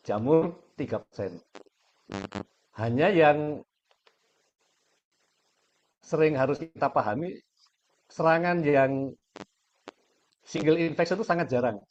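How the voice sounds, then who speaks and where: A hoarse man giving a measured webinar presentation with frequent pauses. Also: medium pitch, a little mellow. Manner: measured, many pauses and fillers. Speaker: guest speaker, aquaculture director. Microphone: video call. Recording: dull lo-fi call audio.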